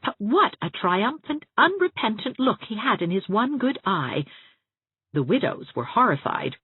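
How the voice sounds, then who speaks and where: A woman reading a first-person story aloud as an expressive audiobook narrator. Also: mid-range voice, measured pace, slightly rough, no room sound. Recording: narrow phone-like sound, very dry.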